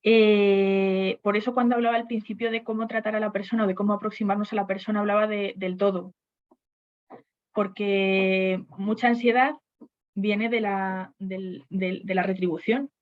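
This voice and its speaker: A woman's voice: mid-range voice, level tone, normal speed, dull audio. A woman on a webinar panel discussing HR topics, speaking hesitantly with frequent restarts and fillers.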